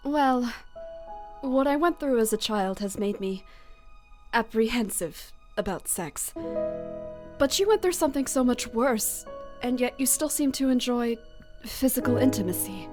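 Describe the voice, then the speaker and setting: An actress playing an earnest, dignified young princess in a comic dub, speaking in a formal, sincere, measured way. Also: female voice, a little high, expressive, over music. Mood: earnest, careful. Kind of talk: dramatic comic-dub voice acting.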